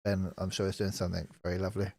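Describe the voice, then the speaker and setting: Chill guy, lowish voice, chatty and laughing, dry close sound. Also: rapid-fire, gravelly, no room sound. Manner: relaxed, chatty, laughs often. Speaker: podcast co-host, touring musician. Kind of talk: casual live podcast chat.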